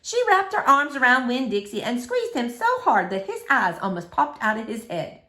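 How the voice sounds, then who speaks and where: Woman, children's read-aloud, expressive narration, slightly high pitch, lively intonation. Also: moderate pace, roomy sound. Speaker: storybook reader.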